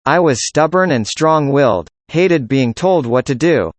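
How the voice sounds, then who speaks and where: A man reading a student's part in a scripted classroom conversation, with an even, measured delivery. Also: a little high, no room sound. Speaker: student in a dialogue.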